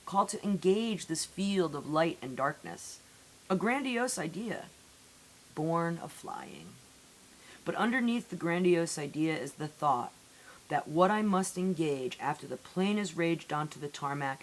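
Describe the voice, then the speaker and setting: Slow-paced woman reciting poetry over faint hiss. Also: low-pitched, poor audio. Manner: deliberate, measured phrasing with dramatic pauses. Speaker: poetry reader. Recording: amateur recording with audible hiss.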